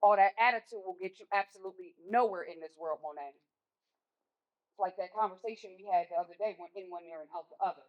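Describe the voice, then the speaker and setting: A woman's voice: mid-range voice, a little fast, muffled recording, natural voice. Stern American mother, a woman acting. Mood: scolding, exasperated. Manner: scolding, emphatic. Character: stern, exasperated mother of teenagers. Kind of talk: stage play acting.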